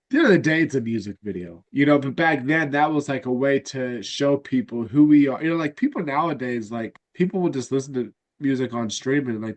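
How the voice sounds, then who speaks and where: Congested nasal man chatting casually. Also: medium pitch. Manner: rambling, lots of fillers and restarts. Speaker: podcast host. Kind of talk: casual fan chat.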